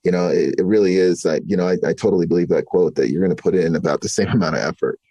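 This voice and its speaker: A man hosting a podcast, chatting in a relaxed way, full of "you know" and fillers. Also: sits low, racing, husky, no room sound. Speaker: podcast host. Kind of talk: casual interview chat.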